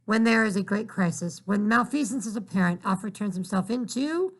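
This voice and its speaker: A man voicing an earnest, excitable young girl who eagerly explains things in a storybook. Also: high voice, normal speed, full character voice.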